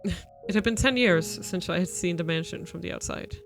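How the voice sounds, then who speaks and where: Woman, streamer, narrating a visual novel, steady delivery, faint music. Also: fairly low pitch, brisk, gravelly. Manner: steady narration with playful retorts. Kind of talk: reading a visual novel aloud.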